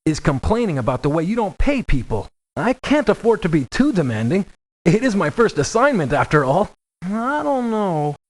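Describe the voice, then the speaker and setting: A woman's voice: on the low side, very animated, moderate pace, darkish tone. Nervous rookie merc girl for a game, earnest, pleading, voice goes up and down a lot. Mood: timid, upset. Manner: pleading, hesitant, apologetic. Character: anxious, earnest rookie mercenary on first assignment. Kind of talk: video game character lines.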